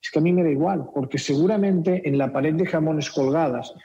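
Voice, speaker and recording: A man's voice: fairly high pitch, fairly quick, dark tone, highs cut off. A man from Spain with a Castilian accent slightly mixed with Mexican, explaining his business as a radio interview guest. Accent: Castilian Spanish with a slight Mexican mix. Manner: explanatory, frequent repetitions and tag questions. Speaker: company director, interview guest.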